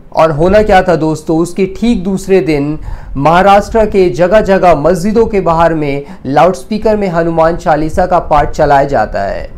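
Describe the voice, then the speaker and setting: A man's voice: on the high side, a little mellow. Young man presenting a news explainer. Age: 20s.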